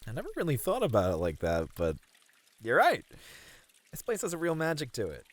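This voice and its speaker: Bashful, lively bunny man, played by a voice actor. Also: medium pitch, fairly quick, dry recording. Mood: relaxed. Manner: hesitant, bashful, earnest. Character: friendly, easily flustered bunny man, an esports manager. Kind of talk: ASMR roleplay. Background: pouring, trickling water.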